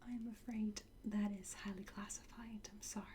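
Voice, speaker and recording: A woman whispering gently and reassuringly in a breathy voice, close to the microphone, playing a calm, courteous trainer in an ASMR roleplay. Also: mid-range voice. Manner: slow, gentle, reassuring, with soft pauses and hums. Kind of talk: soft whispered ASMR roleplay, addressing the listener. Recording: close whisper with clear background noise.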